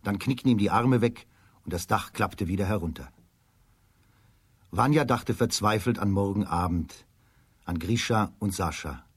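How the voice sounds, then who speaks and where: A husky-voiced man narrating a scripted children's story as a radio play narrator. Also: on the low side, normal speed, long pauses, no room sound.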